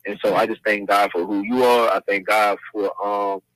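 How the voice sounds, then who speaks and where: A man in his forties, a podcast guest, speaking in a measured, earnest way with religious turns of phrase. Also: lowish voice, fairly even intonation, a bit bright, dry recording, poor audio. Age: 40s. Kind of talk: casual podcast chat.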